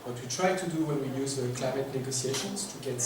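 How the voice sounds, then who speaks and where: A man's voice: mid-range voice, fast talker. French accented guy presenting at a workshop, lots of ums, sounds far off in a big echoey room with hiss. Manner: hesitant, many filler words; addresses audience with questions. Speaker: workshop speaker. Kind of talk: conference presentation. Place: large echoey conference room. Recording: echoey distant room recording with noise.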